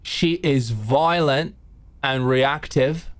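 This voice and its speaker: A man's voice: medium pitch, extremely slow. A man playing a nervous, awkward, deferential public defender in a courtroom roleplay drama. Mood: earnest.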